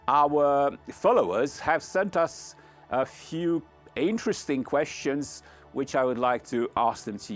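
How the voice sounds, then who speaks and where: A male podcast host speaks earnestly with a lively, swooping pitch and an unhurried pace. He stresses his words with care, and music plays faintly behind him. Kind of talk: scripted podcast introduction and interview.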